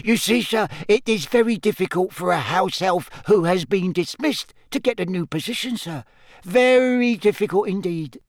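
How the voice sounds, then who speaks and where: A man voicing an eager, devoted small male house-elf in a squeaky, shrill, servile voice for an audiobook. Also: animated, moderate pace, no echo, clean studio sound. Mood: earnest. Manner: servile, speaks of himself in third person.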